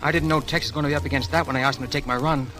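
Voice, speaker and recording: A man's voice: a little high, fairly even intonation, rapid-fire, natural voice. An American man playing a cocky, flirtatious veteran pilot with brash, slangy patter in a 1930s Hollywood film. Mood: breezy. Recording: vintage 1930s film soundtrack with music.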